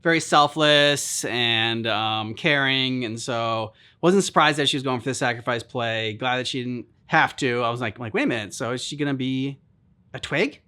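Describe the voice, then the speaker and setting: A man hosting a game reaction video, chatting casually in a halting, rambling way with many filler words. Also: medium pitch, sharp tone, clean tone. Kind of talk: casual chat commentary.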